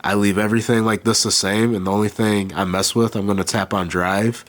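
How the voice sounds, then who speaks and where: A man with a cold and a nasal voice, casually talking through a hands-on beatmaking tutorial, halting as he works. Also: on the low side. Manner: relaxed, halting, thinks aloud while working. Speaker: beatmaker demonstrating gear. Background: beat playing behind.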